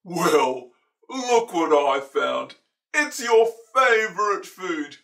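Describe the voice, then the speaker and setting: A woman's voice: fairly low pitch, very animated, extremely slow, fairly bright tone, distant mic, voice pushed down, heavily acted. A woman voicing a jovial, friendly, big-bellied male butcher in a storybook, with a hearty, teasing delivery. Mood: jovial, generous.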